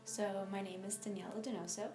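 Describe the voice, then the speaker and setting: A young adult woman from Miami telling her personal story in an interview, speaking informally and haltingly with lots of filler phrases. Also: medium pitch, little pitch movement, reverberant, music in the background. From United States (Miami, Florida). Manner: informal, halting, frequent "like" and "you know".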